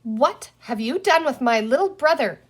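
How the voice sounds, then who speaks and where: A woman's voice: sits high, lively intonation, moderate pace, slightly rough, voice pushed up. A woman voicing a brave, cross young girl in a storybook, exclaiming indignantly. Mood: cross, fearful.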